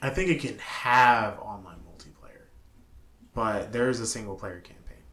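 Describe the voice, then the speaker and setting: A man co-hosting a casual podcast chat, speaking excitably and repeating phrases for emphasis. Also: on the low side, moderate pace. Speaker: podcast co-host. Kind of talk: casual chat about games and movies.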